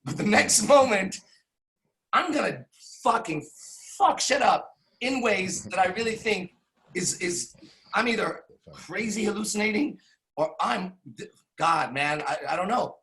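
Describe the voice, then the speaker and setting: A man's voice: sits high, expressive, unhurried, long pauses, pushed and bright, rough recording. A man in his forties telling a rambling, profane personal story, full of restarts and tag questions. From Iran. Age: 40s. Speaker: podcast host. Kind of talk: candid storytelling monologue.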